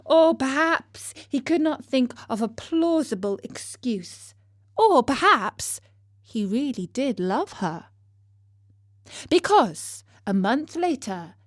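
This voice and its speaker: Female storyteller for children's tales: very slow, measured, expressive delivery, dry close sound. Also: fairly high pitch, no echo. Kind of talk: scripted children's story narration.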